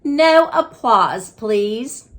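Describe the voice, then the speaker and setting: A woman's voice: on the high side, animated, very slow, fairly bright tone, heavily acted. Woman putting on a hearty, showy janitor man. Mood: grand, showy. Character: cheery dramatic male janitor, hearty and chummy with classroom pets.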